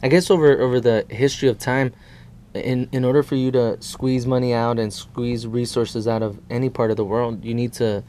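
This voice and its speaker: A man in his thirties from El Salvador, discussing politics as an activist guest in halting, repetitive phrases. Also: medium pitch, flat delivery, warm tone, a little hiss or hum. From born in El Salvador. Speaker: guest, immigrant rights activist. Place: small indoor TV studio.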